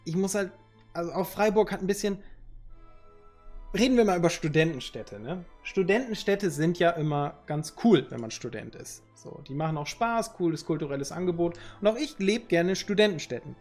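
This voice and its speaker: Man, thirties, slangy joking streamer, slightly high voice, headset, soft music behind. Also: lively intonation, a little fast. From Northern Germany. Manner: loose and joking, reads chat aloud, slangy. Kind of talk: casual chat with viewers. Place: room at home.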